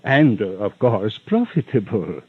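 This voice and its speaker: An actor playing a rich, unctuous villain in an old radio drama, florid and over-polite with hesitant stammers and veiled menace. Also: male voice, on the low side, sing-song, unhurried, breathy edge, dry recording, heavily acted. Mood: flustered, apologetic. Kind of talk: 1940s-50s radio drama acting. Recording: muffled old radio recording.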